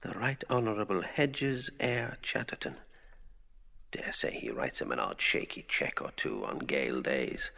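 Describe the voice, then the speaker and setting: An actor playing an observant man musing to himself in clipped fragments, husky and gravelly, with reverb, as interior monologue in a radio drama. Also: deep voice, huge pitch swings, moderate pace, sharp tone, full character voice.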